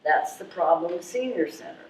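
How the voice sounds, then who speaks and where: A woman's voice: fairly low pitch, animated, normal speed, darkish tone, lightly acted. A woman playing a part in a live readers theatre reading, reciting listings item by item. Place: live stage reading in a room. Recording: echoey, distant, faintly noisy room.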